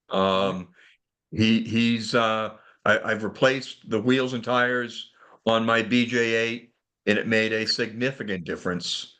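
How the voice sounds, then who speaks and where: A man with a clear-cut, bright and forward voice hosts a casual technical conference call. His delivery is halting, and he often repeats a word before carrying on. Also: mid-range voice. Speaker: host of a car club technical call.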